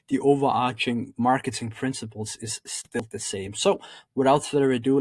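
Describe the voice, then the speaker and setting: A man's voice: mid-range voice, dry recording. Danish-accented man explaining, dry close sound. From Denmark. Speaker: marketing consultant presenting a tutorial. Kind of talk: explanatory video presentation.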